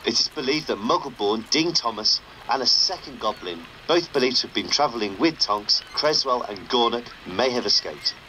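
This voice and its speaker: An underground radio presenter for a game. His voice is bright and lively, with dry, sardonic announcing. There is faint noise behind him. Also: medium pitch, moderate pace, hardly any pauses, rough recording. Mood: solemn. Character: wry underground radio show host, a man. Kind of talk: broadcast radio presenting, scripted game dialogue.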